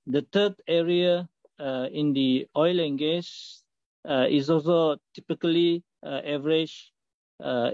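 A middle-aged man from Malaysia, a company executive, talking haltingly with many filler sounds in a remote interview over a video call. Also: on the high side, a little mellow, no room sound. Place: indoor office. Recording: muffled dry video call audio.

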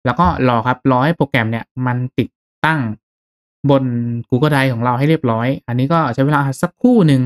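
A man narrating a step-by-step software tutorial in a casual, conversational, instructional way. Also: medium pitch, a little fast. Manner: instructional, conversational with polite particles. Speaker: tutorial host.

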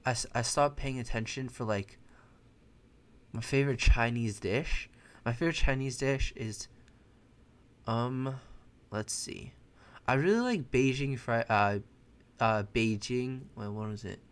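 A young American man, a native Mandarin speaker, casually commenting on his own chess game from his bedroom, chatty and quick to correct himself. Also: medium pitch, clean tone. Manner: thinks aloud, chatty, self-correcting. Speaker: chess streamer. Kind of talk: casual live commentary while playing. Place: bedroom at home, indoors.

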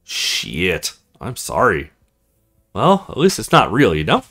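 Man reading as easygoing, animated young male student. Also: lowish voice, takes it slowly, voice pushed down, full character voice. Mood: sympathetic. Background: music.

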